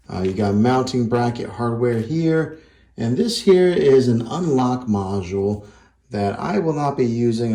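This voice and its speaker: A man's voice: on the low side, a little mellow. A man presenting a casual hands-on tech review, explaining things step by step in a plain-spoken, conversational way. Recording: echoey, roomy indoor sound.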